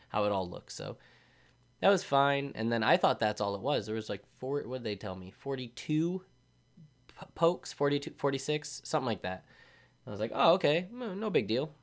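Sleepy-voiced man rambling on his podcast. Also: fairly low pitch. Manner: sleepy, rambling, self-interrupting. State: drowsy from medication. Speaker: podcast host. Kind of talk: casual solo podcast monologue.

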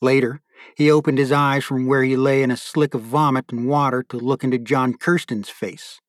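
A man's voice: medium pitch, steady tone, normal speed, dry recording, clean studio sound. A man giving a scripted narration as an audiobook narrator and podcast host.

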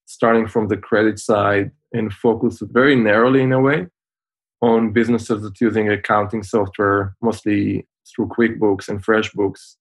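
A man in his forties with an Israeli accent, a podcast guest explaining things in a measured way with frequent pauses over a video call. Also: fairly low pitch, level tone. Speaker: guest, fintech founder.

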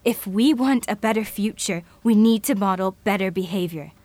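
A woman's voice: mid-range voice, normal speed, darkish tone, no echo, lightly acted. A woman playing a thoughtful, idealistic futurist in an audio drama, speaking in a reflective, earnest manner.

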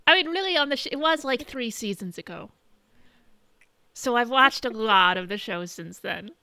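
A woman podcast co-host chatting casually in a halting, conversational way, recapping what she has been watching. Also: a little high, expressive, sharp tone, smooth, no room sound. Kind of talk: casual chat, recapping shows and films.

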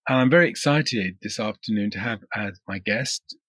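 A man hosting an academic interview, speaking in a measured, articulate way with long formal sentences. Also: lowish voice, fairly bright tone, breathy edge, dry recording.

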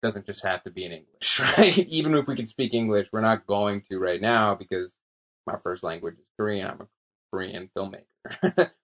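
A man co-hosting a casual film discussion, musing in a halting way and leaning often on "like". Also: on the low side, fairly bright tone. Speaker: film podcast co-host.

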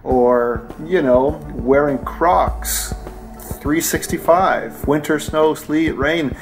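A man's voice: a little high, takes it slowly, faint music behind. A man in his forties, an interview guest describing his friend in a playful, teasing way. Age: 40s. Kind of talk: casual interview talk.

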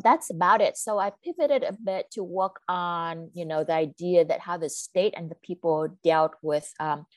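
A woman's voice: lowish voice, no echo. A woman in her thirties from Vietnam, an academic guest answering interview questions in a hesitant, thoughtful, conversational way. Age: 30s. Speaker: academic author, podcast guest.

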